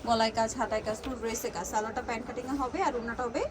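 Lady doing a product showcase, talks really quick, kinda high voice, noisy shop behind her. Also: flat delivery, crowd noise. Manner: repeats prices and sizes, prompts seller with questions. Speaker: presenter of a clothing shopping guide video. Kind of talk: live product showcase commentary. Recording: clearly noisy busy shop ambience.

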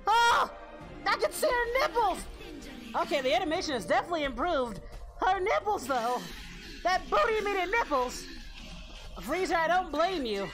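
A man playing an excitable, lecherous, cheerful anime warrior in a parody, hosting a casual reaction video in character. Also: very high-pitched, moderate pace, pauses often, music in the background, low-quality recording. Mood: flustered, excited. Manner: excitable, bawdy, exclaiming.

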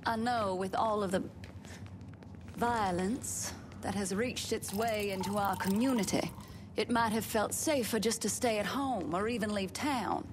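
Southern American society hostess, played by a woman, mid-pitched, formal, measured eulogy delivery, video game, noisy ambience. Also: lightly acted. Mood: solemn, gracious. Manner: formal, measured public address.

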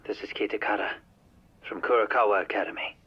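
An actor playing a guarded, weary middle-aged former teacher, speaking politely and with measured understatement in a game drama. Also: male voice, on the low side, husky, muffled recording. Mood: polite, grave. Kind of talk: dramatic video game dub acting.

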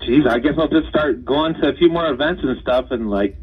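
A man's voice: medium pitch, fairly quick. A man speaking casually and defensively on a phone call, hesitant and stammering. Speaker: surprised call-in guest on a radio prank call. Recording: muffled, husky phone line from far off.

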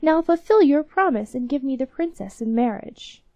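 A scheming, boastful young minister's son from a fairy tale, read aloud by a woman. The delivery is raised and forceful, and the voice stays mellow and dry. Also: high voice, lively intonation, normal speed, no echo, voice pushed up.

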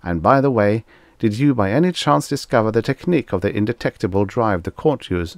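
A man's voice: lowish voice, lively intonation, fairly quick, no echo, studio quality, pitched above the performer's own voice. A man voicing a pompous, easily panicked ambassador, barking and indignant in a science fiction audiobook. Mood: self-satisfied. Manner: barking, indignant, self-important.

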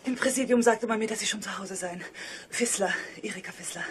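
A woman's voice: medium pitch, fairly quick, background noise, natural voice. A woman playing an earnest, troubled wife in a radio drama, hesitant yet insistent. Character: earnest, troubled wife pleading with a police inspector.

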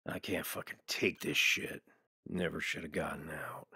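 A man's voice: rumbling low, fairly even intonation, normal speed, noisy background, pitched below the performer's own voice. A man voicing a bitter, laconic ex-military sniper, terse and grumbling, in a motion comic. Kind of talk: motion comic character acting.

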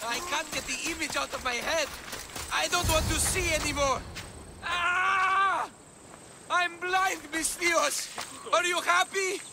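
An actor playing an excitable Greek eccentric in a video game, theatrical and overwrought. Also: male voice, very high voice, slow pace, loud music behind the voice, exaggerated voice. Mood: anguished. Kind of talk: video game cutscene acting.

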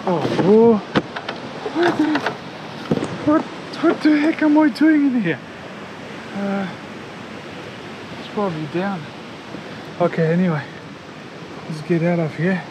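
A man in his thirties from France, vlogging casually outdoors on a jungle trail with a handheld action camera, chatty and excitable, sweaty and a little out of breath from climbing. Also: sits high, takes it slowly, pauses often, muffled recording, background noise. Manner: chatty and excitable, talks to viewers as guys, swears. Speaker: travel vlogger. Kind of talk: casual vlog commentary. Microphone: handheld action camera at arm's length.

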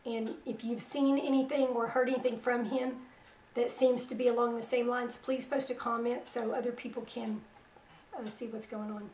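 A middle-aged woman hosting religious internet radio. Her voice is weak after a stroke, and she talks in a soft, plain-spoken, unhurried way. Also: a little high, level tone, roomy sound, distant mic, slight background noise, low-quality recording. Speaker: radio host. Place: small room at home, indoors. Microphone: headset.

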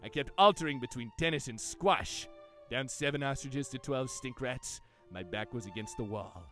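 A man's voice: medium pitch, moderate pace, pushed and bright, natural voice. A man playing a pompous, literal-minded young knight in an anime parody dub, speaking in a grandiose, formal, theatrical way. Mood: indignant. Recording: music behind.

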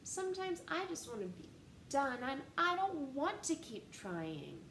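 A woman in her thirties reads a picture book to young children, slow and expressive. Her voice sits a little high. She speaks from a couch at home, recorded on the camera mic, with a roomy echo and faint hiss. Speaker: storytime reader. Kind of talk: children's read-aloud. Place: living room, seated on a couch. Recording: echoey room with faint hiss.

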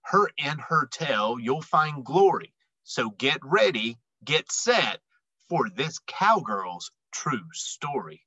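Bright-voiced man reading picture books, very animated. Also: mid-range voice, breathy edge, no echo, low-quality recording. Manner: emphatic, dramatic phrasing with deliberate pauses. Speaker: read-aloud storyteller. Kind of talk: expressive children's picture book read-aloud.

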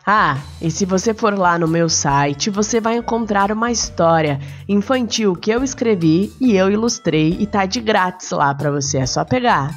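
A woman's voice: medium pitch, expressive, moderate pace, hardly any pauses, soft background music. A woman with a Brazilian accent, a storyteller and podcast host narrating and chatting to children.

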